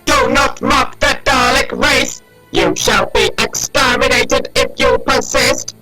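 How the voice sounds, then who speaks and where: A man's voice: very high voice, level tone, slow pace, rough recording. A man voicing a menacing, imperious alien war machine in a sci-fi audio drama, barking commands through robotic modulation. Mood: outraged. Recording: loud music over the voice.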